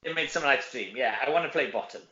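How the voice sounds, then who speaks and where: Male, middle-aged, Welsh. Chatty, informal interview guest with a forward, bright tone; roomy, lo-fi sound. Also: mid-range voice, animated, quick pace. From Wales. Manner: chatty, informal, frequent tag questions. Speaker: guest, actor. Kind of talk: casual interview chat.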